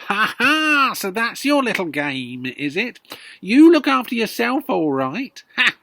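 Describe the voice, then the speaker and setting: A reader voicing a bossy, greedy old grandmother who shouts and scolds everyone in a storybook. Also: medium pitch, a little slow. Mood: furious scolding. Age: older. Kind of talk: storybook reading.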